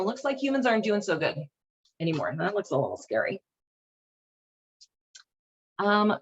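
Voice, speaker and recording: A woman's voice: on the low side, breathy edge, lo-fi sound. A woman hosting a casual read-along and commentary, speaking in an informal, wry, irreverent way. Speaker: host reading and commenting on a children's Bible.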